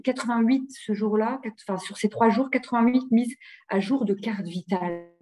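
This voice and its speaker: A woman's voice: medium pitch, a little mellow. A woman giving an informal webinar slide presentation, often hesitating and repeating words as she looks for her phrasing. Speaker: presenter of local health programmes.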